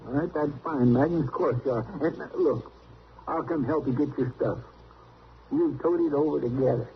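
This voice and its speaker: Creaky-voiced, earnest frontier brother. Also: male voice, on the high side. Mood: obliging. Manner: folksy, halting, earnest. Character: plain-spoken, affectionate older brother in the Old West. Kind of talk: 1950s American radio western acting. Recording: noisy, muffled vintage radio recording.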